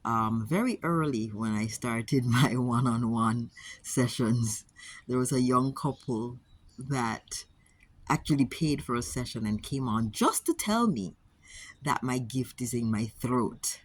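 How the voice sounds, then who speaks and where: A woman with a Jamaican accent, speaking to camera as a wellness coach in a measured, earnest way, often repeating words for emphasis. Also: rumbling low, expressive, breathy edge, no echo. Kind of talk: casual talk to camera.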